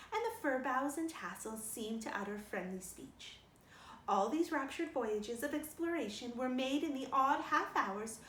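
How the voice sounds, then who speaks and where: Female storybook narrator, higher-pitched and very lively, recorded in an echoey room. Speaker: storybook reader. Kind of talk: expressive scripted audiobook narration. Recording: roomy, distant mic, faint noise.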